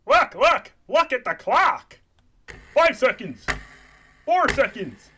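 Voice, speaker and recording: A man's voice: very high voice, big pitch swings, slow pace, background noise, exaggerated voice. A man voicing an earnest young frog puppet in a comic radio play, urgent and a little hesitant. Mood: kindly urgent.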